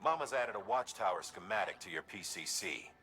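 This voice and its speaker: Low, businesslike dispatcher. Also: male voice, lively intonation, fairly quick. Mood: matter-of-fact. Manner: matter-of-fact, instructive. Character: businesslike male dispatcher issuing practical advice. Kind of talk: video game radio briefing.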